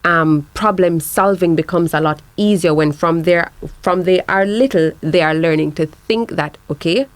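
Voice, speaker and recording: A woman's voice: fairly low pitch, normal speed, few pauses, no room sound. A woman, an author and media guest on a panel, arguing her point firmly and fluently. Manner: assertive, firm, fluent argument. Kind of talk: studio panel discussion.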